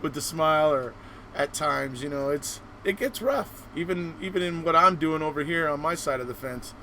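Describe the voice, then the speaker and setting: A man with a fairly high voice hosts a casual interview on a live stream. He talks in an encouraging, meandering way, circling back over his points. A faint noise sits behind his voice. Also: normal speed, pushed and bright. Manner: encouraging, rambling. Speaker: host of a live online show.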